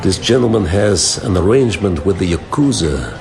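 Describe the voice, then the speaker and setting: A sardonic, world-weary informant in a radio drama. His lowish voice is gravelly and takes its time, over faint music. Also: lively intonation, moderate pace. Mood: sardonic, knowing. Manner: sardonic, knowing.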